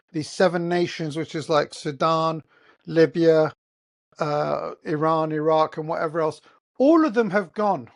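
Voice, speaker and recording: A man with an English accent, hosting a heated solo monologue, blunt and profane, firing off rhetorical questions. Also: a little high. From England. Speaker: host. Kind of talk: ranting monologue.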